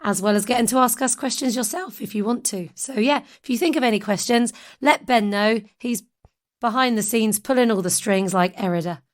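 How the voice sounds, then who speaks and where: A woman with a slightly croaky voice, chatting casually as a podcast host. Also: a little high, brisk.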